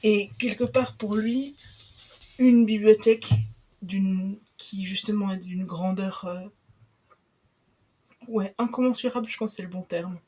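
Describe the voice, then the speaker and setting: Husky hesitant young woman. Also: medium pitch, a little slow, long pauses, warm tone. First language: not Italian. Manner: hesitant, many fillers and restarts. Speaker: guest presenting a book. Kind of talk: live radio book chat.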